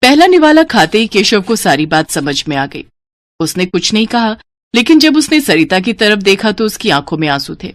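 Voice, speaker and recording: A woman narrates a scripted story in a highly animated voice. Her pitch moves widely from phrase to phrase, shaping each sentence as a storyteller would. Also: moderate pace, dry recording.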